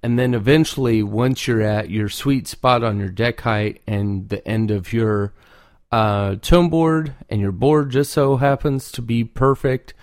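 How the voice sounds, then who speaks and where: A man's voice: medium pitch, steady tone, a little slow, a little mellow, no room sound, studio quality. A man giving informal, off-the-cuff instruction as a hands-on craftsman, often repeating words for emphasis. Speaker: duck call maker giving advice.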